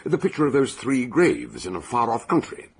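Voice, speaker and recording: Shrewd, eccentric sleuth for radio mystery; very husky, gravelly, wryly ruminative. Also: male voice, medium pitch, very animated. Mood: wry, probing. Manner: ruminative, wry, halting. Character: eccentric, ponderous male amateur detective doctor, shrewd and curious. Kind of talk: British radio detective drama acting.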